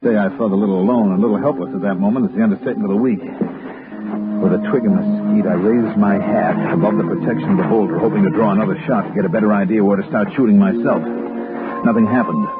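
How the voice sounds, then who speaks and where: An actor playing a wry, cool-headed American investigator, narrating and talking in a 1960s radio drama. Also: male voice, lowish voice, quick pace, natural voice. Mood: tense narration. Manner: wry, understated. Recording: narrow-band old radio recording with music behind.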